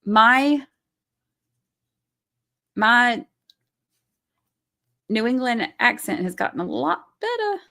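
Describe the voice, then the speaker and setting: A woman's voice: on the high side, very animated, takes it slowly, vocal fry, long pauses, pushed and bright. A woman hosting a casual livestream, reading viewer comments aloud and reacting to them in a chatty way.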